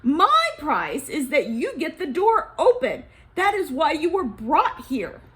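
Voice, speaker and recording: A woman voicing a haughty, angry male president in a storybook, forceful and imperious. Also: high-pitched, wildly swooping pitch, takes it slowly, voice pushed up, exaggerated voice.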